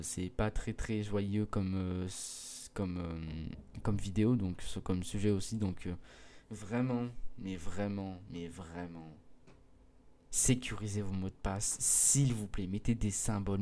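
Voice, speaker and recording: Hesitant teenage boy with a mellow voice. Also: lowish voice. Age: mid-teens. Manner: hesitant, many fillers and restarts; earnest, pleading with viewers. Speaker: gaming video creator. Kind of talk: casual explanation to viewers.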